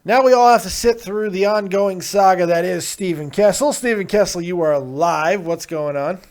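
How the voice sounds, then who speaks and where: A man with a slightly high voice hosts a call-in show in crude, joking chat. He teases his callers and breaks into loud laughter. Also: moderate pace. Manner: loud, teasing, bursts of laughter.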